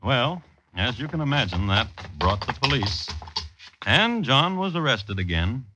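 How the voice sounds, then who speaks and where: A man's voice: medium pitch, big pitch swings, a little slow, background noise. A man performing as a wry, droll storyteller narrating an old-time radio drama. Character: wry, droll male storyteller of a period tale.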